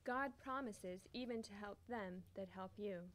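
A woman's voice: medium pitch, normal speed, fairly bright tone. A woman solemnly reading scripted scripture in a readers theater on a church stage. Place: church stage before congregation. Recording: noisy church hall recording.

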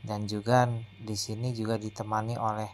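A man narrating a hotel restaurant buffet tour as a food vlogger, in a steady, slow descriptive way. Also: on the low side, soft background music.

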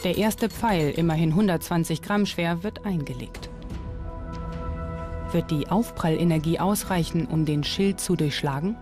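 A woman's voice: fairly low pitch, unhurried, over music. A woman reading scripted documentary narration.

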